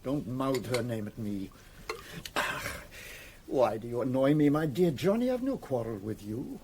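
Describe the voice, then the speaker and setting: An actor playing a smooth, condescending crooked lawyer with a cultured, menacing, theatrical manner in a radio drama. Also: male voice, mid-range voice, lively intonation, measured pace, a little mellow, slight background noise. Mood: irritated. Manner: suave, theatrical, mocking.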